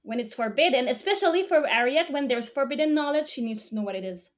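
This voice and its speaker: A woman's voice: high-pitched, expressive, quick pace. A woman co-hosting a casual chat, recapping a show, talking with many fillers and restarts and laughing often. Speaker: podcast co-host.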